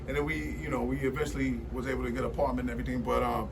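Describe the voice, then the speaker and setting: A man's voice: mid-range voice, fairly even intonation, very fast, creaky, far from the mic, a little hiss or hum, low-quality recording. A man in his forties telling stories in a casual studio conversation, rambling with lots of fillers and restarts. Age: 40s. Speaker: guest.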